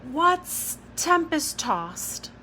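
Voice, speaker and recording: A woman's voice: very high-pitched, big pitch swings, extremely slow, sharp tone, a little hiss or hum, pitched above the performer's own voice, full character voice, poor audio. A woman reading a storybook part as a fierce, stubborn young girl, defiant and insistent. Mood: curious, earnest.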